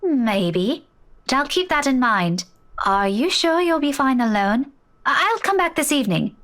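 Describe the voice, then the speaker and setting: A woman's voice: high-pitched, very animated, unhurried, fairly bright tone, exaggerated voice. A woman voice-acting an anxious, weary woman in a visual novel, speaking earnestly and a little hesitantly. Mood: weary, grateful. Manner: hesitant, earnest, stammering slightly. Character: anxious, weary woman keeping a hospital vigil for a friend.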